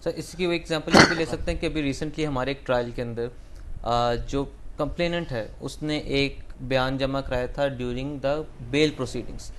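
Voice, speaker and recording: A man's voice: mid-range voice, fairly even intonation, moderate pace. A man with a Pakistani accent hosting a conversational interview, deferential and mixing English into his speech. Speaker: host and interviewer, a lawyer.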